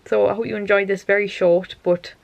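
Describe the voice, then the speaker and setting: A woman's voice: mid-range voice, level tone, fairly quick, creaky, no echo. A young woman, tired and losing her voice, rambling chattily to camera at home at night. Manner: rambling, chatty, self-interrupting. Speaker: vlogger. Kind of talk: casual chatty monologue to camera.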